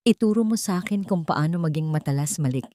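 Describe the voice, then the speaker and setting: A wronged young wife played by a woman narrator has hardened into a cold avenger. She speaks steadily and with resolve in an audio drama, her voice low and recorded dry. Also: expressive, brisk, dark tone, no echo, natural voice. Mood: cold determination.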